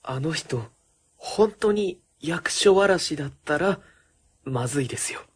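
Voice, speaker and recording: A man's voice: on the high side, expressive, measured pace, slightly rough. A man playing an earnest, anxious junior city official in a comedic radio drama, speaking formally. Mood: earnest worry.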